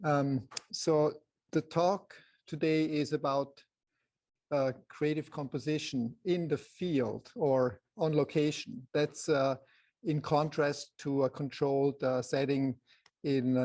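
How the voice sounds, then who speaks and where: Male photography presenter, Swiss accent, soft but slightly high voice with a gravelly creak, halting lecture delivery. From Switzerland. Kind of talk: informal slide lecture to a live group.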